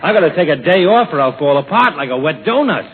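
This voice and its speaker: An actor playing a weary, wry town doctor with a dry, courteous manner in 1940s radio comedy style. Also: male voice, fairly high pitch, very animated, quick pace, very noisy. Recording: tinny 1940s radio recording.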